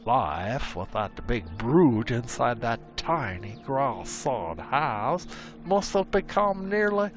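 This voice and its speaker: A man's voice: mid-range voice, big pitch swings, takes it slowly, soft background music. A man narrating a frontier legend as a scripted story, with rustic, old-timey storyteller phrasing.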